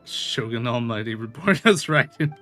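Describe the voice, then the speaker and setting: Male game streamer, bright forceful voice, unhurried rambling casual chat, music playing behind. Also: mid-range voice, animated. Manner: informal, rambling, chuckling. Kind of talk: casual livestream chat over gameplay.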